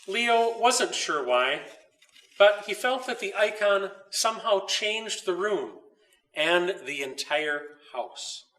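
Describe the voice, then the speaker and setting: Male author-narrator for children's story: expressive, deliberate, crisp forceful brightness, mic slightly distant. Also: high voice. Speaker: author reading his story.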